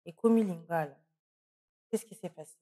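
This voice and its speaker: A woman's voice: lowish voice, normal speed, dark tone. A woman hosting an interview, asking her questions in a playful, teasing way. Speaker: interviewer, host.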